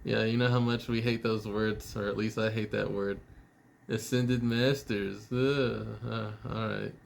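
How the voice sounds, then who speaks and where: A man giving a casual, rambling video message to a friend, sounding a little tired and out of it, halting and teasingly irreverent, with some swearing. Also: lowish voice, steady tone, creaky, slight background noise. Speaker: spiritual vlogger.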